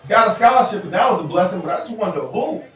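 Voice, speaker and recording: A man in his thirties talking straight to camera, answering viewer emails with animated gestures and repeating phrases for emphasis. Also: high-pitched, fast talker, a faint crowd. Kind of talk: casual advice talk to camera. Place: indoor room in front of a chalkboard backdrop. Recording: echoey room, voice a bit far off, faint music.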